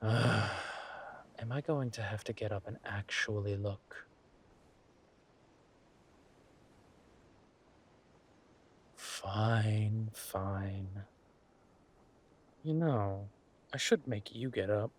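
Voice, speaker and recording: A man playing a flirtatious, smug villain king in a roleplay audio drama, talking to his spouse in a husky, teasing, languid way. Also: fairly low pitch, a little hiss or hum. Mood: grudging, flirtatious. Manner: teasing, languid, amused, drawn-out phrasing with murmured asides.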